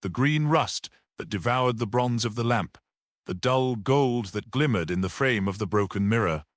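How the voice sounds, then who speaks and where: A man's voice: mid-range voice, animated, normal speed, no echo, clean studio sound. A man reading a mystery story aloud as an audiobook narrator.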